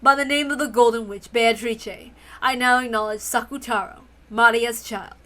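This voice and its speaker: A woman's voice: fairly high pitch, fairly even intonation, moderate pace, pushed and bright. A woman voicing a proud, theatrical witch in a visual novel read-aloud, speaking in a ceremonious, declamatory way. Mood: solemn, grand.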